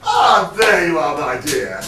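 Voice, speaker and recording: A man's voice: on the high side, big pitch swings, measured pace, shouting, a crowd in the background. A man playing a dithering, henpecked older father with hesitant, stammering amateur pantomime delivery. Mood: befuddled. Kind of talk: broad amateur pantomime stage acting. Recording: echoey, noisy hall from far off.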